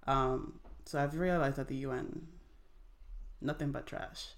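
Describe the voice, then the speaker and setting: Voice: medium pitch, steady tone, measured pace, gravelly. A young adult from Nigeria, a podcast guest, talking in a rambling, heated, casual way. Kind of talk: casual chat.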